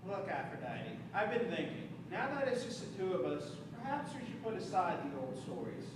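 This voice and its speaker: Stage play: male actor as mortal suitor, dry yet sincere, lively pitch swings, noisy reverberant hall recording. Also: a little fast. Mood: earnest, hopeful. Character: exasperated mortal man in love with a goddess. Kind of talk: community theater stage acting. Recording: echoey stage from far off, noisy.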